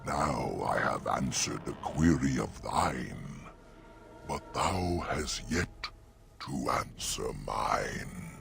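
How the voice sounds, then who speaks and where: An actor playing an ancient, courteous talking oak in a fantasy game, speaking archaic rhymed verse in a grandiose, gravelly voice. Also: male voice, extremely low pitch, lively intonation, very slow, a bit bright, faint music behind, exaggerated voice. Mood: curious, stately.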